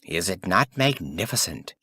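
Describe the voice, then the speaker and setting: A man's voice: deep voice, sing-song, unhurried, pushed and bright, slightly rough, no room sound, full character voice. A fawning royal courtier, played by a male narrator, gushes with exaggerated admiration. The voice swoops widely in a dry storybook recording. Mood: gushing, admiring.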